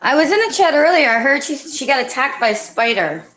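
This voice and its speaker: A woman's voice: sits high, very animated, a little fast, a bit bright, low-quality recording. A woman guest in casual chat on a livestream, modest and hesitant, often trailing off.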